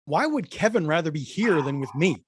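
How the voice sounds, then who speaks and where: A man's voice: a little high, very animated, a little fast, slight background noise. A man voicing a needy, lovesick little pet dog in a cartoon table read, plaintive and earnest. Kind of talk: animated comedy table read.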